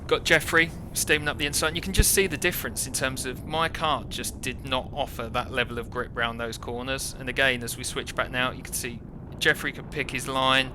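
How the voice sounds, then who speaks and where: A man's voice: steady tone, a little fast, few pauses. A British man giving informal first-person commentary on his own kart race, in a wry, self-deprecating manner. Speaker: kart racer narrating own race footage. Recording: clear engine rumble behind the voice.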